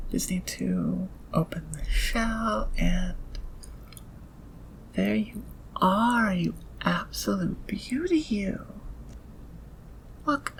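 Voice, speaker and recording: A woman's voice: mid-range voice, creaky, slight background noise, low-quality recording. A woman playing an eager, teasing thief inventor who dotes on gems and machines in an ASMR audio roleplay. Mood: doting whisper. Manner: doting, murmuring to gadgets and gemstones.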